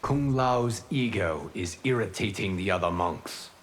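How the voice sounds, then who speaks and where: Low male voice, calm wise god, formal, grave, video game, noisy ambience. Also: a little slow. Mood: measured, instructive. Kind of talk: video game voice acting, formal pre-fight banter.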